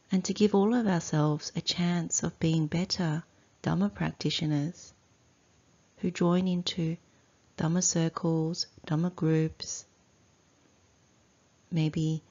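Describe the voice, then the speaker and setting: A woman teacher leading a guided meditation in a measured, deliberate way, breaking her sentences into short phrases with long pauses. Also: fairly low pitch, darkish tone, highs cut off. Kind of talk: slow guided meditation instruction.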